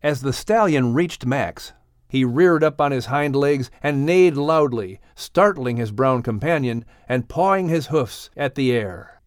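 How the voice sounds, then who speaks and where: A man reading a scripted audiobook story with a dramatic, expressive manner. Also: medium pitch, unhurried, dry recording, clean studio sound. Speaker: audiobook narrator.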